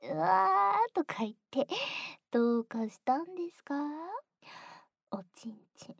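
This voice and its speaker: A young woman voicing a playful junior who teases the listener, moving between soft speech and close whispers with frequent giggles. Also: extremely high pitch, animated, a little slow, gravelly, no room sound, full character voice. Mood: teasing. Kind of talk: whispered ASMR roleplay.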